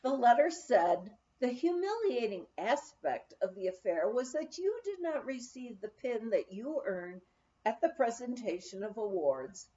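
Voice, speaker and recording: A woman narrates a memoir from a script in a fairly high, mellow voice. She goes slowly, with very animated intonation. The recording is a little dull. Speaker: audiobook reader.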